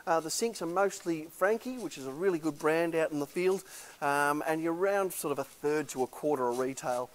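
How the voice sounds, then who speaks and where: A man's voice: on the high side, normal speed. A middle-aged man, an auctioneer walking through a warehouse and talking up the lots in a chatty salesman patter full of fillers. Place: large warehouse indoors.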